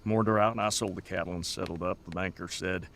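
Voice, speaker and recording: A man's voice: deep voice, steady tone, brisk, fairly bright tone. An actor playing a weary, plainspoken Montana rancher in an audio drama, folksy and stoic as he talks. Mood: plain, resigned. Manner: folksy, stoic, matter-of-fact storyteller. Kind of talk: naturalistic audio drama dialogue.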